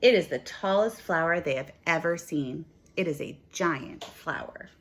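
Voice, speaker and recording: Female teacher, lowish pitch, expressive children's read-aloud style, emphatic on key words. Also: measured pace. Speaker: teacher reading a picture book aloud.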